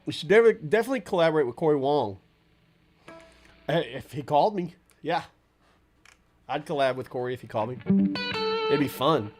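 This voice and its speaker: A man hosting a casual livestream, answering chat in a rambling, relaxed way with a stuffy nose and lots of fillers. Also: a little high, fairly quick, long pauses, over music.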